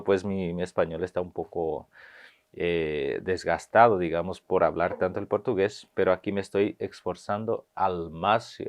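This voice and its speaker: A man, giving a video language lesson and slowly repeating phrases with hesitant fillers. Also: low voice, a little mellow. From Paraguay. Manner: slow, careful repetition of phrases, with hesitant fillers. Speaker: language teacher.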